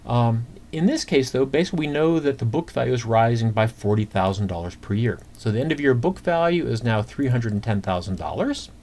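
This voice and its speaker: Over clear background noise and keyboard clicks, a man with a medium-pitched voice teaches accounting in an informal screencast. He works through figures step by step, conversationally and haltingly. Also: lively intonation, a little mellow. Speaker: accounting instructor. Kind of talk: informal screencast lesson, explaining worked calculations. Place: indoors at a computer.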